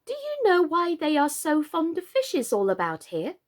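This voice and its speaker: A well-mannered young girl, polite but a touch nervous, voiced by a woman. She speaks a little higher than the storyteller, careful and hesitant. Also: wildly swooping pitch, moderate pace.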